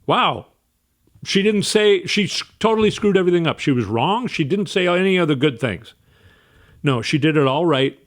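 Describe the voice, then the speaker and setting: Man, political commentary host, high voice, emphatic, exasperated. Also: lively intonation, normal speed.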